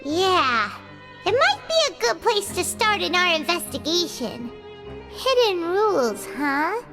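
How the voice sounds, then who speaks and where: A woman voicing a small, childlike, excitable fairy girl with a squeaky voice in a video game. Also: slow, over loud music, full character voice. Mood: thoughtful, chirpy. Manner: excitable, exclaiming, refers to self in third person. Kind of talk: video game cutscene voice acting.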